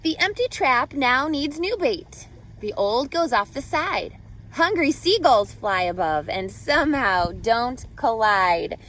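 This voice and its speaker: A woman reading a picture book aloud to children as a teacher, expressively, pausing to ask guiding questions and explain. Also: fairly high pitch, fairly bright tone.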